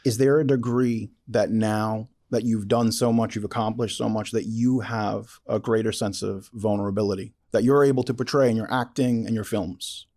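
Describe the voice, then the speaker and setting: A man's voice: on the low side, steady tone, moderate pace, hardly any pauses, a little mellow. A man hosting a casual interview, chatting as an enthusiastic fan. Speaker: interview host. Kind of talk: casual chat.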